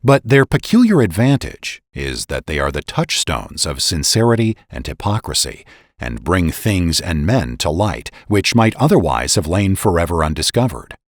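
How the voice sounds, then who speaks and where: A man's voice: low voice, very animated, normal speed, no echo, clean studio sound. A man reading scripted historical narration in a solemn, oratorical way with emphatic phrasing. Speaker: podcast narrator and reader.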